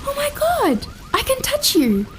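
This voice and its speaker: A woman's voice: extremely high pitch, huge pitch swings, a little slow, noisy background, full character voice. A woman acting the ghost of a young woman, frank and matter-of-fact, in an audio drama. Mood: amazed.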